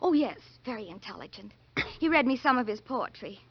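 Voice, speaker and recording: Scatterbrained female secretary, high-pitched and very animated, earnest, muffled old radio sound. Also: a little fast, husky, no echo. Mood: gushing, naive. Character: naive, gushing, devoted young female secretary. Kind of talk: 1940s radio comedy-drama acting. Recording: dull, dry vintage radio recording.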